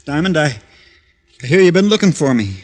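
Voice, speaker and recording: A man's voice: on the high side, big pitch swings, a little fast. A man playing a nervous, threatening small-time racketeer in an old-time radio crime drama. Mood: tense menace. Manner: curt, threatening. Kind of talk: 1940s-50s radio crime drama acting.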